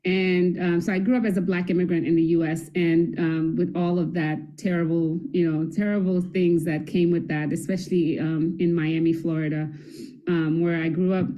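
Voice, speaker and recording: Mellow middle-aged woman telling stories. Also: fairly low pitch, little pitch movement, normal speed. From Haiti. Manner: chatty storytelling with laughs and self-corrections. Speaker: guest academic. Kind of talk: conversational interview answers.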